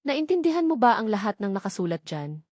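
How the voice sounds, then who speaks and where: A woman's voice: a little high, big pitch swings, a little fast, darkish tone, no room sound, clean studio sound. A woman voicing a cold, controlled rich husband in an audio drama, giving curt, businesslike orders without emotion.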